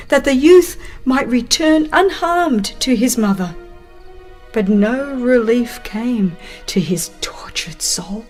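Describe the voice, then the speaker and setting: A woman reading a dramatized religious story as an audiobook narrator, solemn and expressive, with measured phrasing and dramatic pauses. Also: fairly high pitch. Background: music behind the voice.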